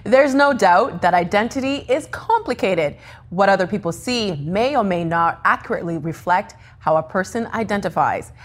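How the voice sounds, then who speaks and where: A woman hosting a broadcast interview, delivering scripted introductions in a measured way. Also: medium pitch, lively intonation. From Africa. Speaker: host.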